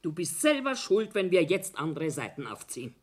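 A woman's voice: fairly low pitch, big pitch swings, moderate pace, darkish tone, slightly rough. An actress playing a submissive middle-aged Bavarian wife and mother in a stage-style drama, anxious and pleading, echoing her husband. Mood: scolding, echoing. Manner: pleading, parroting. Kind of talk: dramatic stage-play acting in dialect.

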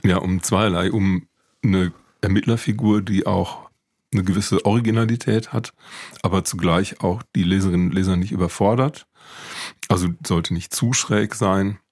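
A man speaking as an expert guest, explaining things in a measured, academic way. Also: deep voice, steady tone, darkish tone, slightly rough, no echo, studio quality. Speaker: expert guest, literature professor. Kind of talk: explanatory interview talk.

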